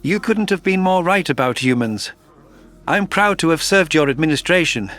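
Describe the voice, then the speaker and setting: A man's voice: on the high side, animated, moderate pace, a bit bright, pitched below the performer's own voice. A man voicing a loyal, formal alien military general in a science fiction audio story. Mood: solemn, respectful. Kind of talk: audio story character dialogue.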